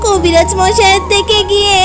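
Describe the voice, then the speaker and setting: A woman's voice: very high voice, steady tone, a little fast. A woman voicing a naive, earnest young fox girl in a children's cartoon, with a childlike manner. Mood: worried. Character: naive, apologetic little fox girl, eager daughter. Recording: loud music behind the voice. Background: music.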